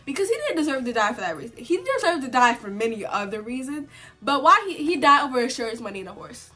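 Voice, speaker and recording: A young woman vlogger with a congested cold, chatting at home in a sit-down video and giving opinionated takes full of "like" and sudden animated outbursts. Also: high-pitched, fast, soft background music. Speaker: vlogger reviewing a TV series. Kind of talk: casual sit-down chat to camera.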